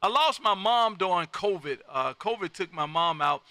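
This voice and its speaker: A man's voice: sits high, expressive, normal speed, bright, forceful tone, no echo. A folksy, exuberant man, chatting casually as a ham radio host who peppers his talk with "man". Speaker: amateur radio operator and live stream host.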